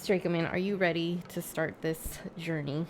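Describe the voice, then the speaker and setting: A woman's voice: fairly low pitch, fairly even intonation, moderate pace, very noisy. A woman livestreamer chatting casually with her viewers, thinking aloud in a hesitant, rambling way. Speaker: live streamer.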